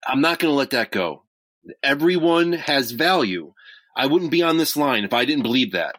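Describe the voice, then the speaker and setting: A man playing an earnest, caring crisis hotline volunteer in an audio drama, speaking in a measured, hesitant, sincere way. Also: mid-range voice, lively intonation, a bit bright, dry recording. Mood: calm, concerned. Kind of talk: naturalistic two-person audio drama acting.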